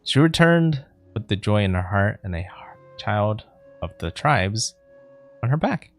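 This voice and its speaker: Male streamer for a let's play. Lowish voice, lively intonation, reads dialogue aloud and adds commentary. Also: unhurried, soft background music.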